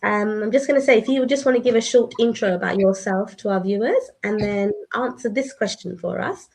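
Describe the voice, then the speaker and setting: A woman's voice: mid-range voice, fairly quick, creaky, a little mellow. A woman in her thirties hosting a live online session, welcoming viewers and introducing guests.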